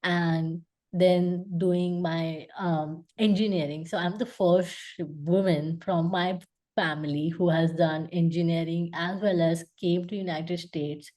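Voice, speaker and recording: A woman's voice: on the low side, fairly even intonation, slow, warm tone. A young woman from India with a Gujarati-accented English, speaking earnestly as an interview guest and using many fillers. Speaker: guest, UX designer. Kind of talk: casual interview talk.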